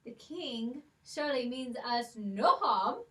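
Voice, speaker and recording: A woman's voice: on the high side, expressive, slow, bright, forceful tone, far from the mic, full character voice. A woman voicing Molly, a brave, clever poor young girl with a plucky, cheeky way of talking in a children's puppet show. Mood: scolding.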